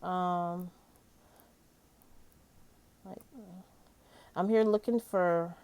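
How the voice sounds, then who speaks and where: A woman's voice: lowish voice, fairly even intonation, drawn-out words, darkish tone, smooth, no room sound. A woman hosting a commentary channel, chatting casually and informally, rambling along as she speaks directly to her viewers.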